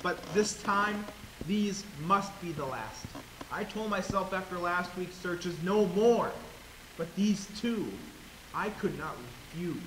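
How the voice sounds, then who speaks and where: A man's voice: high voice, slow pace, a bit bright. A young man acting an anxious, loyal helper of nuns in a stage play, agitated and emphatic. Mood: worried. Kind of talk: amateur stage acting in a high school play. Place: theater stage, indoors. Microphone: distant camera. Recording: echoey stage, hissy distant camera.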